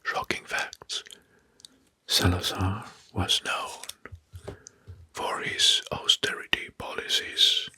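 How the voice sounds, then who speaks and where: A man narrating history in an ASMR style, in a breathy, husky whisper, slowly and deliberately in short phrases. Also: lowish voice, sharp tone, no echo. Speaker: ASMR video host. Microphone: close microphone.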